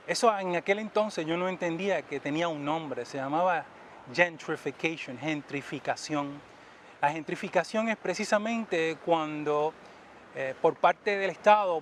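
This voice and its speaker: Fifty-something guy from new york, telling his story outside, a bit high voice, faint traffic behind. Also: a bit bright. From United States (New York). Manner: reflective storytelling, tag questions, grows emphatic. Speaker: interviewee. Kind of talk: personal testimony in an interview.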